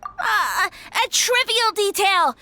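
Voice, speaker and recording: A woman's voice: very high-pitched, very animated, slow pace, bright, forceful tone, faint music behind, full character voice. A woman voicing a pompous, secretive little male mushroom being in a video game, with a theatrical, taunting delivery. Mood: pompous, indignant.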